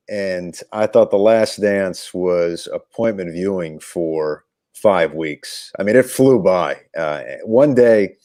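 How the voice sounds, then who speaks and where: Male sports commentator as interview guest: very low register, dry recording, reflective, conversational delivery with emphatic phrasing. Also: darkish tone, dry recording.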